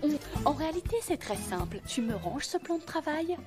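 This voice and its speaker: An actress dubbing a tough, proud young female cook in an animated film, brisk, bossy and assertive. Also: high voice, over loud music. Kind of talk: animated film dub acting.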